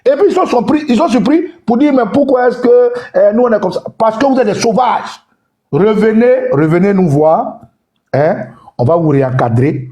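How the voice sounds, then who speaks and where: A man's voice: high voice, moderate pace, dark tone. A man speaking in a heated online debate, emphatic and lecturing, repeating himself for force. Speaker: participant in an online debate room. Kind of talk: heated political talk.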